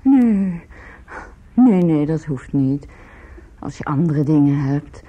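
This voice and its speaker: Husky, wistful ailing mother. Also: sits low, animated, slow, highs cut off, heavily acted. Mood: evasive, weary. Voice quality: husky, breathy. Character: ailing, wistful middle-aged mother of a student son. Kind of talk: radio drama acting.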